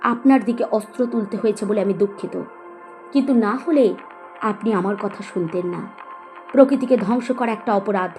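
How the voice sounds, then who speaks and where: A woman's voice: mid-range voice, darkish tone, soft background music, natural voice. A woman acting a resolute, principled young woman in a Bengali audio story, speaking firmly and earnestly. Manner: firm, earnest, measured.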